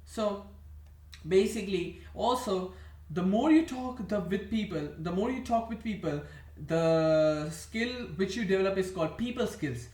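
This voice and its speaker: Indian man, high voice, meandering self-correcting vlog talk, roomy echo off-mic. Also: measured pace, a little mellow, recorded from a distance. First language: Indian languages. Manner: rambling, meandering, restarts and corrects himself often. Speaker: vlogger. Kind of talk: rambling monologue to camera.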